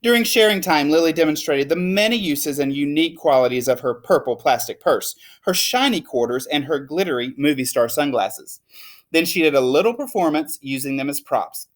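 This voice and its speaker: A woman reading a children's storybook aloud in an expressive, emphatic way. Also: low-pitched, normal speed. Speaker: teacher reading a picture book aloud. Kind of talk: animated storybook read-aloud.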